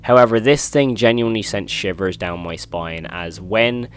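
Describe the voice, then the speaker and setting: A man's voice: fairly low pitch, normal speed, clear, resonant tone. A man hosting a horror video commentary, retelling and reacting in an informal, emphatic way.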